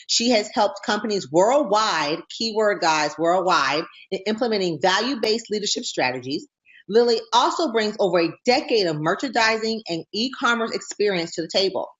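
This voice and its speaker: An excitable, bubbly woman hosts a casual talk show, often breaking into laughs as she chats. Her voice has a mid-range pitch. The sound is dull and somewhat lo-fi. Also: normal speed. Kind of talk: casual interview chat.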